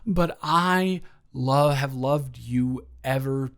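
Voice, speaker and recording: A man's voice: mid-range voice, extremely slow. A young man playing a lovestruck roommate in an intimate audio roleplay, earnest, rambling and stammering as he nervously confesses his feelings. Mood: flustered, rambling. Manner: rambling, stammering, earnest, awkwardly self-deprecating.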